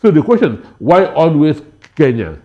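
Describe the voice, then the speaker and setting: Dull-sounding, halting man commentating. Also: a little high, big pitch swings. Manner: halting, repeats words emphatically. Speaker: panel guest, commentator. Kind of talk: political panel commentary. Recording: dull, lo-fi broadcast audio.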